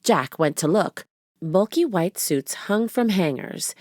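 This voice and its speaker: A woman reads a children's storybook aloud at an unhurried pace. Her slightly low voice moves with lively expression, and the sound is dry and close. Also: no room sound. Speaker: storybook reader. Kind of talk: children's book read-aloud, scripted narration.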